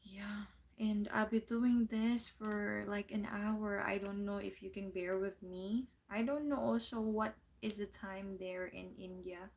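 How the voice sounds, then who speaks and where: Slow, even-voiced Filipino woman. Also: mid-range voice, creaky. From Philippines. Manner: unhurried, searching for words, reads viewer comments aloud. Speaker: livestream host and part-time English teacher. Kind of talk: casual livestream chat.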